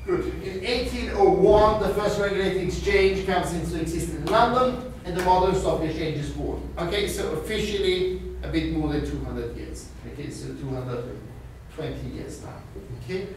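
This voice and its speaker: A man's voice: sits high, moderate pace. Male lecturer in an echoey room. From Austria. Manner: animated, gestures widely, peppers talk with "okay?". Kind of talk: university finance lecture. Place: small classroom with screen and whiteboard. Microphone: far camera. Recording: very echoey room, from far off, faint hiss.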